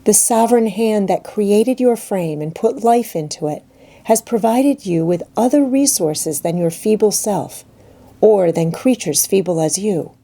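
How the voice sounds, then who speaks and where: A woman voicing a calm, pious schoolgirl friend in a storybook reading, measured, earnest and gently reproving. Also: medium pitch, gravelly, voice pushed up. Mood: earnest, devout. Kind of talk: dramatised audiobook reading.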